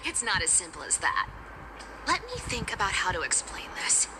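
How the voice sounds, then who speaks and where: Lively, sly young trickster girl. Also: on the low side, normal speed, in a whisper. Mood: playful, teasing. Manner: teasing, breezy, giggly. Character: sly, playful young female trickster demigod treasure hunter. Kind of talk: video game voice acting. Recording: music under the voice. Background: game music.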